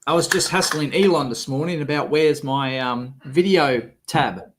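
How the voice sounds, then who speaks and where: A man with a cold and a rough, sick-sounding voice, hosting a casual live stream and grumbling with exasperation. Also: fairly high pitch, moderate pace, noisy background. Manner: grumbling, exasperated, swears freely. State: has a cold, coughing. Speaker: electronics video host.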